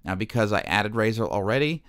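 A man presenting an informal step-by-step music software demonstration, haltingly thinking aloud as he goes. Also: fairly low pitch, big pitch swings, clear, resonant tone, dry recording. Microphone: microphone recorded straight into screen-capture software.

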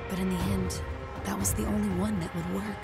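A woman playing a solemn, guilt-burdened young guardian in a video game, speaking in a measured, formal, earnest way. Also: mid-range voice, little pitch movement, gravelly, close to an everyday voice. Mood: remorseful. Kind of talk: dramatic video game dialogue. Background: music.

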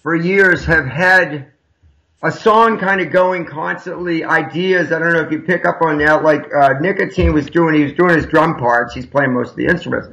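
Gravelly bright-voiced musician on a video call. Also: male voice, fairly high pitch, moderate pace, rough recording. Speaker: guest musician. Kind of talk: casual chat on a video call.

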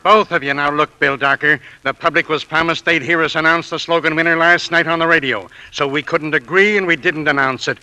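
Exasperated businessman, forceful bright voice, slightly high, emphatic, 1940s radio comedy. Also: fairly even intonation, brisk, few pauses, rough recording. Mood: exasperated, insistent. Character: insistent, exasperated businessman arguing his case.

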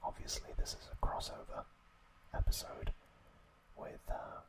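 Slow whispering man, ASMR host. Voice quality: breathy unvoiced whisper. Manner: slow, halting, informal explaining. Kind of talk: whispered ASMR episode recap.

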